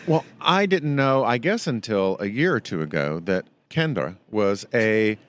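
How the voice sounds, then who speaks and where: American man, low voice, podcast host, curious, conversational. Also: big pitch swings, moderate pace, clear, resonant tone. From United States. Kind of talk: casual interview chat.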